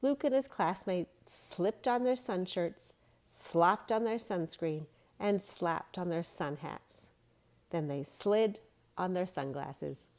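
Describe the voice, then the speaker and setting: A woman with a slightly low voice reads a children's storybook aloud as a storyteller. She also teaches yoga along the way, using short, simple phrases suited to young children. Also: slow pace, darkish tone. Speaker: storyteller and kids yoga instructor. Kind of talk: read-along storybook narration with gentle instruction.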